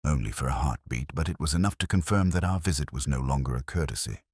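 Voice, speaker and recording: A man's voice: extremely low pitch, expressive, fast, darkish tone, slightly rough, no room sound, clean studio sound. A man reading scripted mystery narration as an audiobook storyteller.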